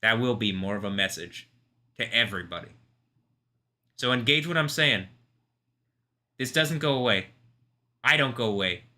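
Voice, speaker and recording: A man hosting a live stream, talking in an intense, rambling way, confrontational at times and soft-spoken at others. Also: mid-range voice, normal speed, long pauses. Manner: calls himself soft-spoken. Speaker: livestream host.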